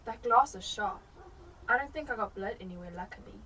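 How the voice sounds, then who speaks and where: A woman's voice: a little high, brisk, sharp tone. A woman acting a nervous, greedy burglar muttering to herself in a radio drama. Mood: nervous, furtive. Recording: distant mic with faint background noise.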